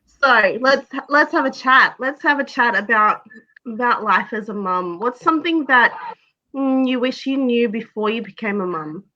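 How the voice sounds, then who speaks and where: A woman's voice: fairly high pitch, animated, normal speed, bright, forceful tone, highs cut off, slight background noise. A woman hosting a casual live chat over a video call, asking her guests questions. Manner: chatty, leads with questions. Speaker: host.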